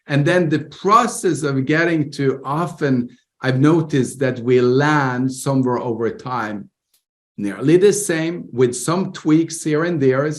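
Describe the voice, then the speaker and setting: A man's voice: medium pitch, takes it slowly. A man with a Norwegian accent, co-hosting a podcast and explaining his views earnestly, often laughing. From Norway. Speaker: podcast co-host. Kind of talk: casual conversation.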